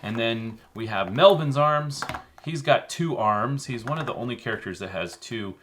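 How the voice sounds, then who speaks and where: A man's voice: mid-range voice, animated, normal speed, background noise. A man co-hosting a children's show, casually explaining how things are made, with many fillers. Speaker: co-host.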